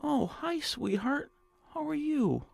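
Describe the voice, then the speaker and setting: A henpecked nebbish, whiny and stammering, his creaky voice caught close and dry. He sounds timid and apologetic, a put-upon little man in a radio comedy. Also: very high-pitched, big pitch swings, slow pace, no echo, faint music behind, exaggerated voice. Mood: meek apology. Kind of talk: comic radio drama acting.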